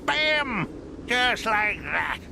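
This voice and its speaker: A man's voice: sits high, big pitch swings, extremely slow, vocal fry, background noise, full character voice. A man playing a cantankerous, possessive old gold miner with a folksy drawl in a cartoon game. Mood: cranky, bargaining.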